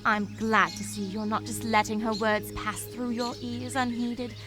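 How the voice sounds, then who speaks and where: High-pitched, prim, fussy rabbit healer played by a woman. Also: flat delivery, unhurried, music in the background, close to an everyday voice. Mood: prim, lecturing. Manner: chatty, lecturing, condescending. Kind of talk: visual novel voice acting.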